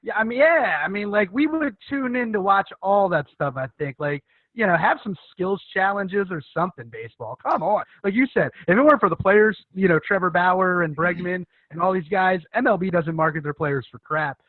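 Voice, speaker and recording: Podcast host guy, high voice, loose jokey chat, tinny phone sound. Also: expressive, fairly quick, dry recording. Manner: loose, joking, filler-heavy. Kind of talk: casual chat.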